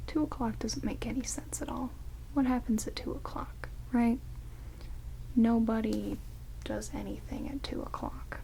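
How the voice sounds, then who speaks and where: A woman playing an ancient, quirky vampire in an ASMR roleplay, speaking in halting, hushed whispers and soft murmurs. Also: fairly high pitch, vocal fry, poor audio. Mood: musing, deadpan. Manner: hushed, rambling, halting, drawn-out words. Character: ancient vampire, quirky, blunt yet caring, bandaging wounds. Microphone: close binaural microphones. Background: heavy rain.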